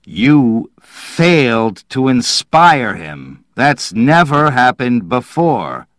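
A man's voice: low-pitched, lively intonation, slow pace, fairly bright tone, no echo, studio quality, full character voice. Man acting a stern, biting, accusing boss. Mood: appalled. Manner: biting, emphatic.

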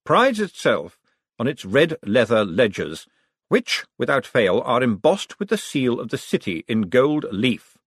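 A man voicing a cold, composed ruler who speaks slowly and deliberately. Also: medium pitch, big pitch swings, husky, dry recording, studio quality.

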